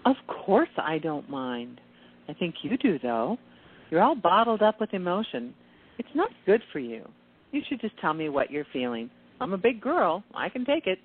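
Low, husky, serenely knowing woman acted out. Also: very animated, moderate pace. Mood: serene, coaxing. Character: calm, cool, sanctimonious, prying female friend who meditates. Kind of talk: radio play acting. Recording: thin phone-like audio.

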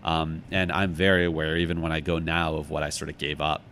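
A man's voice: very deep voice, little pitch movement, brisk, a bit bright, a little hiss or hum. A middle-aged man giving reflective, conversational answers as an interview guest, thoughtful and self-deprecating. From United States, Chicago.

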